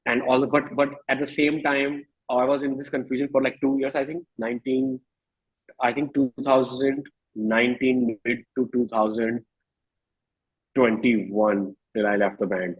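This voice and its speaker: Reflective Indian-accented man, slightly husky. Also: mid-range voice, narrow, tinny audio. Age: 30s. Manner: reflective, halting, rephrasing often. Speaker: musician interview guest. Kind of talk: candid conversational interview.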